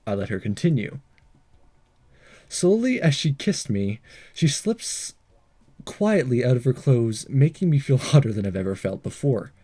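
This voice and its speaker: A man's voice: medium pitch, warm tone. A man hosting a game playthrough, reading visual novel text aloud with jokey asides and the odd stumble.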